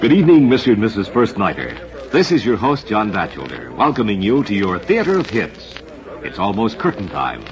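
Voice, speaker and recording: A man's voice: on the low side, wildly swooping pitch, a little fast, crowd noise. A man hosting an old radio program, announcing from a script in a formal, theatrical showman's manner on a muffled vintage recording. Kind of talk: 1940s-50s radio announcing, scripted narration.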